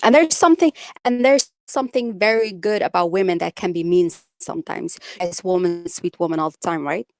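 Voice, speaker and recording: A woman's voice: lowish voice, a little fast, hardly any pauses, darkish tone, dry recording. A young woman with a Dutch accent, chatting bluntly with viewers on a live stream and reading out their comments. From Netherlands. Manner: blunt, outspoken, says it like it is.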